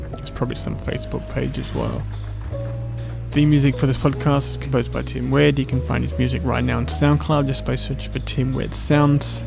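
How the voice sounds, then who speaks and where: Male podcast host, medium pitch with noticeable vocal fry, monologue delivery over a music bed. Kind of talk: spoken-word monologue and casual podcast sign-off, over music. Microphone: close podcast microphone.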